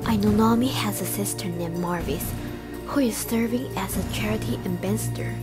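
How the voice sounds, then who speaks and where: A woman acts as a steady senior female police detective in a student radio play. She has a mid-pitched voice and a Taiwanese accent, with clear music underneath. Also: normal speed, lightly acted. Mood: serious. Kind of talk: student English radio drama. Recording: voice over clear background music.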